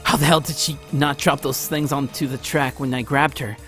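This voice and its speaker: Casting: male let's play narrator, medium pitch, dramatic amateur read with stumbles, background music. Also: steady tone, moderate pace, a bit bright. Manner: theatrical, often stumbles over the text. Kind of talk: dramatic read-aloud narration of visual novel. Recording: music behind, low-quality home recording.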